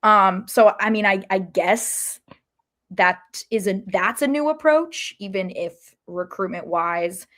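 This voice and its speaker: A woman's voice: medium pitch, slow pace, a bit bright. A woman co-hosting a soccer podcast in a casual sports chat, hedging and thinking aloud as she goes.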